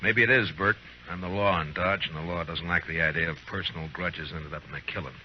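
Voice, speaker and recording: An actor playing a stern, steady frontier marshal in an old-time radio western, firm and laconic. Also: male voice, low voice, fast, close to an everyday voice. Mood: concerned, steady. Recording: muffled, noisy vintage radio recording.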